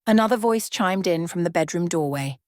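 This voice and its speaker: A woman's voice: lowish voice, expressive, a little fast, a little mellow, no room sound, studio quality. A woman reading a scripted bedtime story as a storyteller in an ASMR style.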